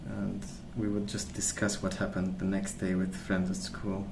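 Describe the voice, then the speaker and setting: A young man speaks softly in a lowish voice, as an ASMR creator rambling along. His manner is gentle and unhurried, as if thinking aloud. The room is echoey, with clear background noise behind him. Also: monotone. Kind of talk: soft-spoken ASMR rambling.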